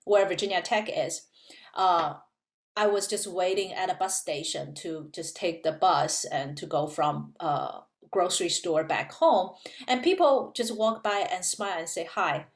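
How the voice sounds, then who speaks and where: Thoughtful woman, echoey room. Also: on the low side. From China. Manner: thoughtful, explanatory, with many fillers. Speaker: physics professor, guest. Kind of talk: casual interview chat.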